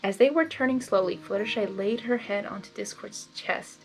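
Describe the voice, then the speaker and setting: A woman reading fan fiction aloud in expressive storybook narration, with informal asides. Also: mid-range voice, normal speed, vocal fry, faint music behind.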